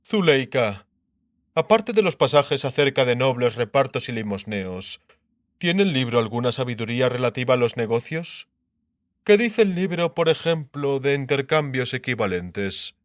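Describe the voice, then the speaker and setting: A man's voice: medium pitch, very animated, dry recording, studio quality, lightly acted. A man voicing a shrewd, grumbling king and sardonic husband in an audiobook, musing aloud. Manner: musing aloud, muttering, drawn-out syllables. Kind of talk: dramatised audiobook character acting.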